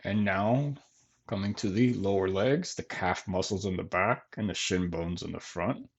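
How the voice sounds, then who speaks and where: A man leading a guided body scan meditation in gentle, measured phrases with long pauses between them. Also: deep voice, breathy edge, dull audio. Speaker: meditation teacher.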